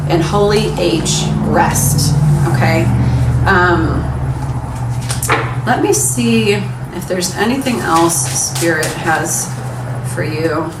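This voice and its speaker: A young adult woman giving a casual pick-a-card tarot reading in a frank, encouraging, chatty way. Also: fairly low pitch. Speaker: tarot reader. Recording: echoey room, mic some distance away, background noise.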